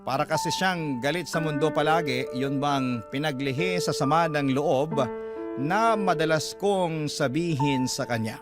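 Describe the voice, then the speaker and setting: A man's voice: on the high side, measured pace, close to an everyday voice. An actor playing an aggrieved younger brother, telling his story as first-person narration in a Filipino radio drama. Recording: loud music under the voice. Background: loud music bed.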